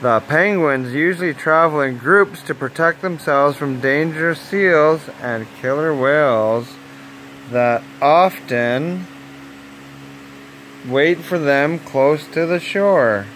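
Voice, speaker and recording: Male storyteller, slightly high voice, deliberate children's bedtime narration, faint background noise. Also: a bit bright, low-quality recording. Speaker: storyteller reading a nature story aloud.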